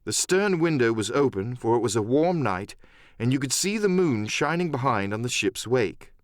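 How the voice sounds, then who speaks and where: A man's voice: medium pitch, big pitch swings, moderate pace, no room sound, studio quality. A man narrating an adventure story as an audiobook, in a dramatic, scripted storytelling style.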